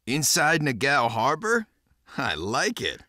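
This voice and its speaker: An actor playing a cocky, vengeful young pirate captain in a dramatic video game, with a brash, swaggering manner. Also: male voice, medium pitch, huge pitch swings, slow pace, pushed and bright, exaggerated voice. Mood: eager, challenging. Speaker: video game protagonist and narrator. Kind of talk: dramatic game cutscene acting and narration.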